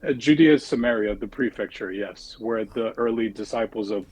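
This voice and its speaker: A man's voice: lowish voice, moderate pace, a little mellow, husky, no room sound, rough recording. A young man from the United States, a debate guest who speaks politely and a bit hesitantly. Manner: polite, hesitant, uses fillers. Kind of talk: debate argument.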